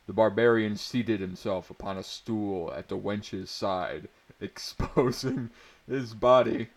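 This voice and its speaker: A man with a fairly low voice narrates pulp fantasy at a very slow pace. He is theatrical and mock-serious, and he drops into amused asides about the text.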